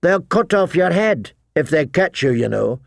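A man's voice: fairly high pitch, big pitch swings, moderate pace, gravelly, dry recording, clean studio sound. A man voicing a nervous, cheeky teenage boy recruit in an audiobook, with a piping delivery.

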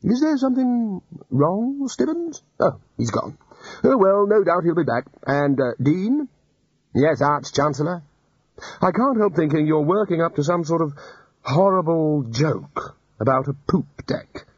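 A man reading aloud and voicing a brisk, hearty elderly male wizard leader. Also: fairly high pitch, very animated, a little mellow, slightly rough, no room sound, pitched above the performer's own voice, heavily acted. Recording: dull lo-fi audiobook recording.

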